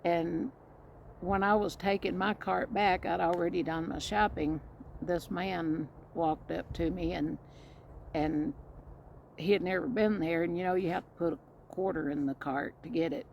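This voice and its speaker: A woman's voice: fairly low pitch, fairly even intonation, a little slow, vocal fry, darkish tone, a little hiss or hum, rough recording. A middle-aged woman with a Southern accent, telling a rambling story to the camera in her vlog and restarting her sentences often. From Mississippi and Indiana. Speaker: vlogger. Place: outdoors, storm coming.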